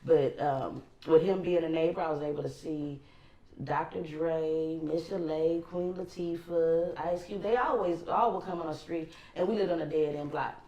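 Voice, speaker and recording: Woman, Los Angeles accent, low voice, echoey room, relaxed rambling chat. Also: moderate pace, highs cut off. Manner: relaxed, rambling with many ums. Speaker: co-host. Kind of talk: casual chat.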